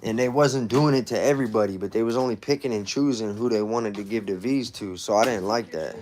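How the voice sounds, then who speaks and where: Low-voiced man in his thirties, streaming casually. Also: darkish tone, slight background noise. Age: 30s. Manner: informal street slang. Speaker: livestream host. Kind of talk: casual livestream chat, personal storytelling.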